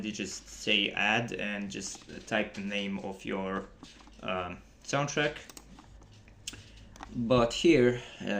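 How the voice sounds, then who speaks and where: A man giving a casual, halting tutorial as a game developer, explaining things informally. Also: medium pitch. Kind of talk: casual screen-recorded tutorial. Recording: noisy desktop mic with key clicks. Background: keyboard typing and mouse clicks.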